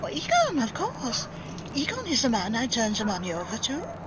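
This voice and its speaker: A woman's voice: a little high, huge pitch swings, moderate pace, noisy background. A woman playing a gullible, passionate young French spy with a French accent, theatrical and pleading, in 1940s radio melodrama style. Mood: urgent.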